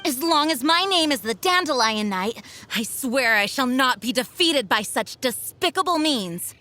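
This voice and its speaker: A woman playing a noble, dutiful young knight commander in a video game, speaking formally and earnestly in measured sentences. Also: high-pitched, animated, pushed and bright, full character voice. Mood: urgent, determined. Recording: music behind the voice.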